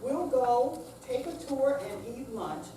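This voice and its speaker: Woman acting high-pitched, insistent grown daughter. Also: animated, slow pace, mellow. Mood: coaxing. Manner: earnest, coaxing, exasperated. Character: adult daughter, insistent, coaxing, caring toward ailing mother. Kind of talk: staged play reading. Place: senior center room, live staged reading. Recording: very echoey hall, distant mic, noisy.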